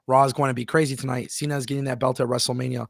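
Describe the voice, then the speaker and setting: Guy hosting a wrestling livestream, gravelly voice, dry close mic, keeps stressing his points. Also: medium pitch, flat delivery, rapid-fire, no echo. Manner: emphatic, repeats words, reads viewer messages aloud. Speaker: wrestling show host. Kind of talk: casual live-stream chat.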